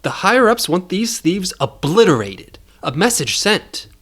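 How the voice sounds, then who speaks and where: A man's voice: sits high, big pitch swings, measured pace, a bit bright, breathy edge, pitched above the performer's own voice, heavily acted. A man voicing an officious, ruthless male handler of a hired assassin in an audio story, businesslike and scornful.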